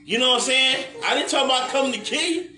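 A man's voice: very high-pitched, quick pace, a crowd in the background. A middle-aged American man bantering casually on a livestream in a slangy, profane, boastful way, repeating himself. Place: living room, indoors. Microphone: phone across the room. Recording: echoey room, away from phone.

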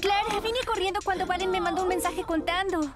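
A woman voicing a naive, gushing, easily impressed teenage schoolgirl in an animated cartoon. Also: very high-pitched, fairly quick, loud music behind the voice. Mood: eager. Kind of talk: animated cartoon dub acting.

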